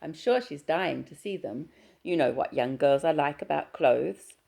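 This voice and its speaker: A woman reading aloud and voicing a small, bright mother, with a chirpy, gushing manner. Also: deep voice, expressive, a little slow, vocal fry, exaggerated voice.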